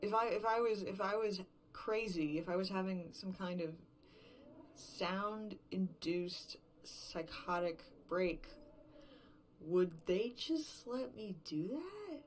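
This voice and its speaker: A woman acting a distraught, unraveling survivor alone in an emptied world, in a halting, self-questioning, profane confessional monologue for a horror fiction podcast. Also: medium pitch, slight background noise, natural voice, low-quality recording. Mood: anxious questioning.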